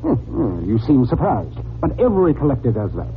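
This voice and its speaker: A man's voice: medium pitch, big pitch swings, brisk, natural voice. Guy doing a shifty flustered collector, stammery n defensive, voice jumps around, tinny old radio play. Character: flustered, indignant, scheming male book collector. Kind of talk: 1940s radio drama acting. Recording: noisy, tinny 1940s radio recording.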